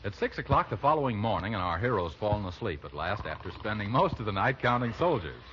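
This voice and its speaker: A man's voice: on the low side, very animated, fast talker, pushed and bright. A man announcing on 1940s radio with a polished, emphatic sales delivery. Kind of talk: 1940s radio ad read and scripted narration. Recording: muffled, hissy 1940s radio recording.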